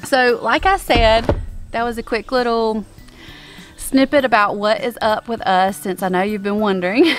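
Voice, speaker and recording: A woman's voice: a little high, fairly even intonation, moderate pace, faint music behind. A woman in her thirties vlogging outdoors on a lawn, talking casually with many ums while showing products to a handheld camera. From American. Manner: chatty, many ums, upbeat about products. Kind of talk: casual product show-and-tell. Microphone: handheld camera at arm's length.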